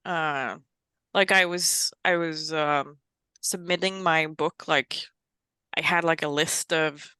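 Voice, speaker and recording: A woman's voice: deep voice, fairly even intonation, slow, bright, forceful tone, clear, resonant tone, no echo, studio quality. A woman from Norway with a Norwegian accent, a guest author chatting casually, with many fillers and self-mocking laughs. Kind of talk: casual interview chat.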